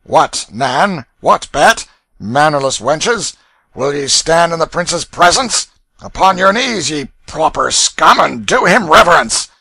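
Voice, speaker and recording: A man's voice: fairly high pitch, expressive, slow, vocal fry, bright, forceful tone, husky, no room sound, voice pushed up, heavily acted. A man voicing a brutish, hot-tempered ruffian father in a storybook, roaring and bullying in archaic English. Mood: jeering. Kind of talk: dramatic storybook reading.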